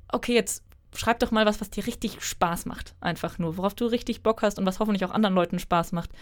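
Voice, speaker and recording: A young woman in her twenties, a German author, answering interview questions in a chatty, thoughtful way with frequent fillers. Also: medium pitch. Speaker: author guest.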